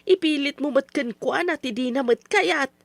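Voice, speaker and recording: A woman's voice: high voice, moderate pace, creaky, no room sound. An actress playing a worried, reproachful older mother and wife in old radio drama style.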